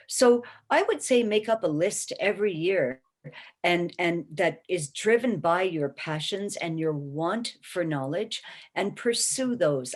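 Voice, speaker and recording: A woman in her sixties speaking on a webinar panel, emphatic and encouraging, often checking in with "okay?". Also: mid-range voice. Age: 60s. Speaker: panelist, small business owner. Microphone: video call.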